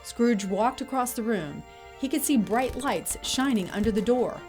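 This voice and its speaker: A woman narrating a radio drama as scripted storytelling, measured and composed. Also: fairly high pitch, animated, darkish tone, faint music behind.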